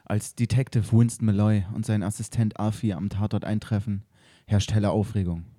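A man's voice: fairly low pitch, level tone, moderate pace, dark tone, no echo, clean studio sound. A man narrating and acting in an improvised audio drama, playful and giggly. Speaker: narrator and actor.

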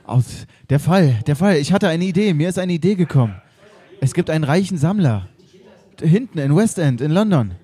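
A man's voice: on the high side, expressive, normal speed, mellow, breathy edge. Man playing flustered, stammering curator. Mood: rambling. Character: fawning, rambling male museum curator. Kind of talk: improvised comedy radio play.

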